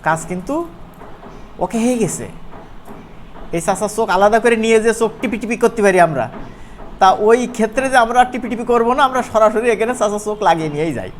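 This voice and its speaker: A man's voice: high voice, fast. Bangladeshi man teaching casually, noisy recording. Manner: conversational, playful, often checking with listeners. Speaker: animation instructor. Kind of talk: informal screen-share software tutorial.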